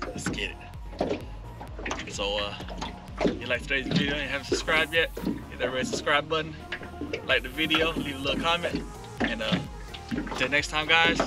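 A man's voice: fairly high pitch, normal speed, pauses often, loud music behind the voice. A young man talking casually and slangily as he films a fishing vlog on a small open boat. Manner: slangy, short exclamations. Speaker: fishing vlogger. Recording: loud wind and boat noise, clicks.

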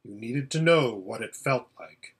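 A man performs a great storybook lion, regal and solemn. He speaks very slowly and with command, stern yet tender, in a husky voice. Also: mid-range voice, animated, pitched below the performer's own voice. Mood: grave, stern. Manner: slow, measured, commanding.

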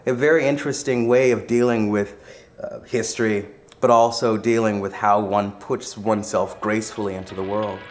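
A man narrates a documentary in a calm, measured way, reflective and articulate. His voice sits low. Faint noise sits under the recording. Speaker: visual artist presenting the film.

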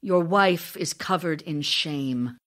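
A woman's voice: sits low, slow pace. A woman playing an ailing, lonely actress wife in an audio drama of love letters, speaking in a theatrical, confiding way. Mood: bitter, ashamed.

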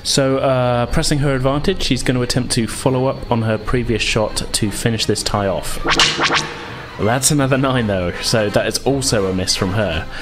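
A man's voice: medium pitch, normal speed, over music. A man running a tabletop roleplaying game, narrating combat and calling out dice results. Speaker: game master.

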